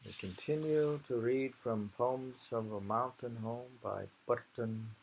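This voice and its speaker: A man reading poetry aloud informally, with musing, halting commentary and frequent pauses, in an amateur recording. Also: medium pitch, gravelly, low-quality recording.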